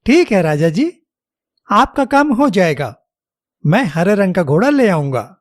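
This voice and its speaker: A man playing a clever, loyal royal minister in an animated children's story, polite and deferential. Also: high voice, measured pace, dry recording, studio quality. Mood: respectful.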